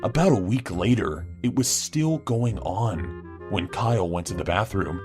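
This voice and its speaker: A man narrating short anecdotes in a casual, emphatic storytime style. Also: sits low.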